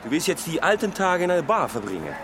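A man's voice: a little high, sing-song, quick pace. A man playing a sceptical but caring friend in a radio drama. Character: sceptical, caring male friend of old bar owner. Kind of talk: naturalistic radio drama acting. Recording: noisy outdoor traffic ambience.

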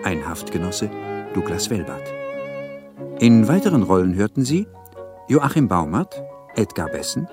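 Male radio announcer, very unhurried and formal, reading scripted credits with violin music clearly underneath. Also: deep voice, very animated. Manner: measured, formal. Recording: music behind the voice.

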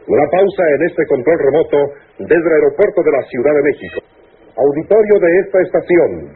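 An actor playing a formal studio announcer with measured delivery in a 1960s radio drama. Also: male voice, fairly high pitch, breathy edge. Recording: tinny old radio recording.

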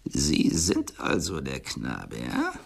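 An actor playing an eccentric, suspicious old millionaire in a radio drama, with a hoarse, breathy, cracking voice and an abrupt manner. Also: male voice, extremely low pitch, wildly swooping pitch, slow pace, heavily acted. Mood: suspicious, brusque. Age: older. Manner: erratic, abrupt, barking then hushed.

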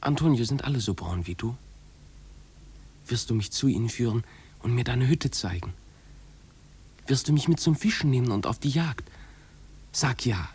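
A man's voice: medium pitch, lively intonation, normal speed, long pauses, darkish tone, breathy edge. An actor playing an eager, dreamy runaway boy in a 1950s German radio drama, speaking with fervent, pleading delivery. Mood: hopeful pleading.

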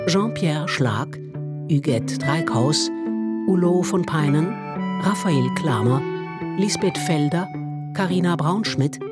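A female announcer reads radio play credits in a low voice. She takes her time over each phrase, with loud plucked guitar music behind her.

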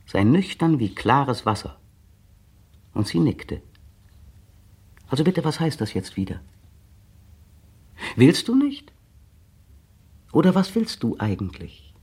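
A man's voice: mid-range voice, very animated, takes it slowly, pauses often, darkish tone, husky, full character voice. An actor playing a bitter, sardonic man who mockingly taunts his young partner in a 1960s radio drama. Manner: mocking, sardonic and wildly shifting. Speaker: male lead in a radio play.

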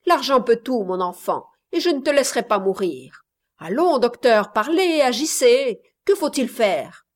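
Woman putting on a blustering miserly father, raised voice, slow pace, storybook reading. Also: fairly high pitch, very animated, voice pushed up. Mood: insistent. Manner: loud, insistent, exclaiming. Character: blustering rich old man, miserly father.

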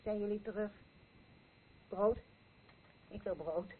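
A childish, querulous old mother played by an actress in a radio play. She speaks slowly and repetitively, always pleading. The recording is muffled, with some noise behind her. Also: mid-range voice, close to an everyday voice. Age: older. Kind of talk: Dutch radio play acting.

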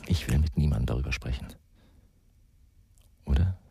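A brooding ex-policeman in a radio crime drama. The voice is extremely low and heavily gravelly, badly husky, muttering to himself in terse phrases. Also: normal speed, dark tone, no room sound, heavily acted. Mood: brooding, irritated. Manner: terse, muttered inner monologue. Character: brooding, gruff ex-policeman turned investigator.

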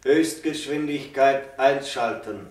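An actor playing a stern colonel in a 1950s radio drama, giving clipped, formal military commands. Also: male voice, medium pitch, takes it slowly, close to an everyday voice. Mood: commanding. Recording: echoey old radio recording.

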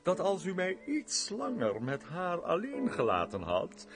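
An actor playing a blustering, flirtatious count in a radio play, gallant and theatrical. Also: male voice, high voice, huge pitch swings, unhurried, music in the background, exaggerated voice. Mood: playful, blustering.

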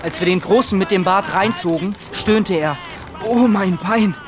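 An actor playing a boyish young sailor who boasts in excited, halting bursts in a German radio drama. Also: male voice, high voice. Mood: boastful, excited.

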